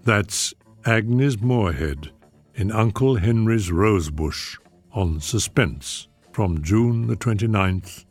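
A man's voice: lowish voice, expressive, takes it slowly. A man hosting a radio programme, presenting from a script. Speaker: radio show host. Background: music.

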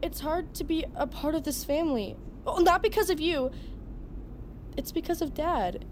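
An actress playing a sulky teenage daughter with a slangy drawl in an audio drama. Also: female voice, sits high, moderate pace, close to an everyday voice. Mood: earnest, hurt. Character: sulky teenage daughter glued to her phone. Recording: noisy airplane cabin ambience.

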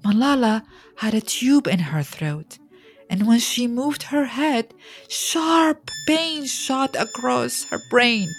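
A woman narrator reading a scripted children's biography in a deliberate, expressive storytelling manner. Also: on the high side. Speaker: audiobook narrator.

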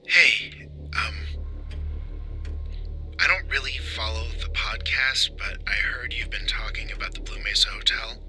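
A shaken man recounting an eerie hotel stay in an audio drama. His lowish voice is very bright and tense, and he goes very slowly, halting and hesitating conversationally. Faint music plays underneath. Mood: uneasy, hesitant. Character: shaken, bald hotel guest insisting his nightmare was real. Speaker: tipline caller. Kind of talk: dramatic monologue in audio fiction.

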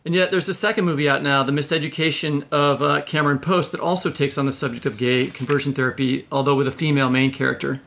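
A man's voice: medium pitch, steady tone, fast talker, vocal fry, hardly any pauses, a bit bright. A man co-hosting a podcast, introducing topics and putting questions to a guest in a conversational way. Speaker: podcast co-host.

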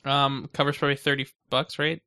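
A man co-hosting a casual podcast, speaking in a dry, matter-of-fact, gently teasing way. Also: mid-range voice, little pitch movement, fairly quick, clear, resonant tone. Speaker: podcast co-host.

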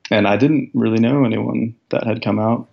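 Young man, deep raspy voice, heavy gravel, low pitch, reflective casual talk, muffled lo-fi recording. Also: steady tone, normal speed. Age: 20s. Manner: reflective, thoughtful, many fillers. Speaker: guest, professional soccer player. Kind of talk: casual interview conversation. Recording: dull lo-fi sound.

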